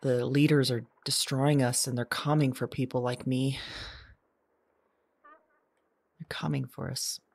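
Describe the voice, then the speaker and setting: A woman's voice: very deep voice, flat delivery, moderate pace, vocal fry, a little mellow, heavily acted. A woman playing an anxious, searching modern woman in an audio drama, with a hesitant, confessional delivery. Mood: scared, despairing. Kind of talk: audio drama monologue acting.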